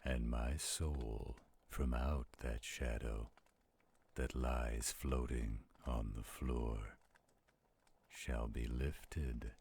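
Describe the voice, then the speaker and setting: A man voicing a theatrical, flirtatious werewolf storyteller in an audio roleplay, with a gravelly, husky voice. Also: extremely low pitch, fairly even intonation, a little mellow, full character voice. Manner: slow, dramatic, playful. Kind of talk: audio roleplay acting with dramatic poem recitation.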